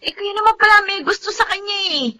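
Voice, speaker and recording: An actress playing a cheeky, blunt young daughter in a Tagalog radio drama. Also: female voice, very high voice, fast, bright, forceful tone, heavily acted. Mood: teasing, cheeky.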